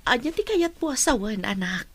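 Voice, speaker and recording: A woman's voice: fairly high pitch, sing-song, moderate pace. A woman playing a long-suffering, aggrieved mother in a radio drama, speaking in an earnest and weary way. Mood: concerned.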